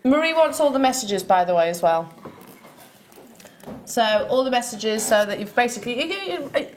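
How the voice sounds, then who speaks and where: A Welsh woman fronts a live shopping broadcast from a TV studio. Her voice sits slightly high, and she chats in an upbeat, sociable way with guests and viewers. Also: lively intonation, normal speed. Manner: chatty, upbeat, reads out viewer messages. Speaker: shopping channel presenter. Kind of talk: live TV selling chat.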